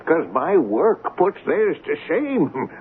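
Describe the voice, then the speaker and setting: An actor playing a deranged, grandiose anarchist painter in a radio drama, declaiming pompously and theatrically as though the world is against him. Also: male voice, a little high, sing-song, takes it slowly. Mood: paranoid, boastful. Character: deranged, grandiose anarchist painter, a man who fancies himself persecuted. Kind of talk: old-time radio drama acting. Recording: tinny, hissy old radio broadcast.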